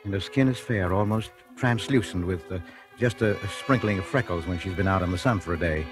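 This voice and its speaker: An actor playing a meek, nervous husband with a hesitant, stammering delivery in 1940s radio drama style. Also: male voice, sits low, fairly quick, lightly acted. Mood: flustered, nervous. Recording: 1940s radio recording with music.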